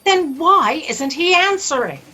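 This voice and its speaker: A woman's voice: sits high, animated, bright, forceful tone, heavily acted. A woman playing an imperious, self-absorbed female boss in a haughty, dramatic way for a comic sci-fi audio drama. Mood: exasperated. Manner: haughty, dramatic, drawn-out delivery.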